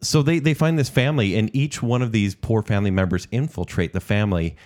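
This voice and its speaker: Low voiced guy gushing about films on a podcast, playful. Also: quick pace, a little mellow. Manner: enthusiastic, teasing. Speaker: podcast co-host. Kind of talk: casual movie review chat.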